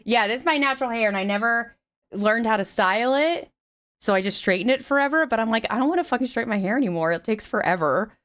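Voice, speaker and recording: A young woman streaming and chatting casually with viewers, her voice slightly raspy after the flu, swearing freely and greeting people brightly. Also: on the high side, lively intonation, fast. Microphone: headset.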